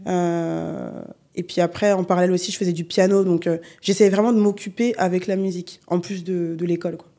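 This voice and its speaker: A young adult woman singer from the Paris region, chatting in an unhurried way as a podcast guest, with frequent fillers. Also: lowish voice. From Paris region, France. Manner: relaxed, unhurried, many fillers. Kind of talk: casual interview chat.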